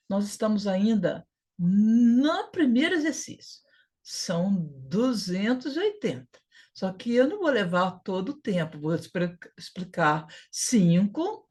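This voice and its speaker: A woman's voice: mid-range voice, darkish tone. A woman with a Brazilian Portuguese accent giving an informal English lesson, sounding out syllables emphatically and repeating key words. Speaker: English teacher.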